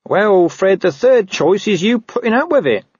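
Man as a cheeky, chummy, husky-voiced copper. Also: high-pitched, sing-song, normal speed, a little mellow, no room sound, highs cut off, voice pushed up, heavily acted.

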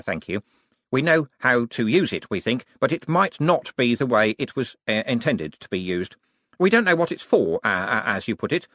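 A man's voice: medium pitch, a bit bright, dry recording, voice pushed up. A man voicing a friendly, flustered young wizard scholar in an audiobook, modest but bookish, hesitating and stammering with many ums.

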